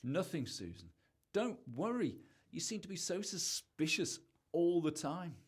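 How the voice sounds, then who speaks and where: A man's voice: fairly high pitch, very animated, measured pace, husky, heavily acted. A man in an amateur radio play acting a defensive, sarcastic husband who is hiding something. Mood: evasive, nervous. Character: evasive, irritable husband hiding a secret.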